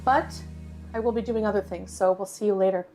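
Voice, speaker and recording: A woman's voice: medium pitch, fairly even intonation, a little fast. A woman talking casually to viewers in a cooking and tasting vlog. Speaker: food vlogger. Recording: music behind, played-back online video.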